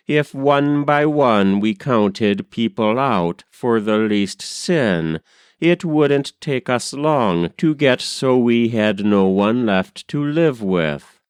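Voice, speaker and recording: A man reading narrative poetry aloud in a measured, deliberate storytelling way. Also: medium pitch, smooth, no room sound, clean studio sound. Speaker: poetry reader. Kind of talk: measured literary poem recitation.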